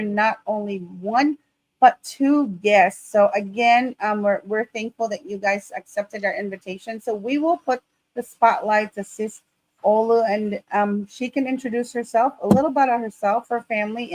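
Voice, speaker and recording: A woman's voice: a little high, a little mellow, dry recording, poor audio. A woman from the Philippines co-hosting a live online show, upbeat and full of repeated greetings. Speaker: co-host.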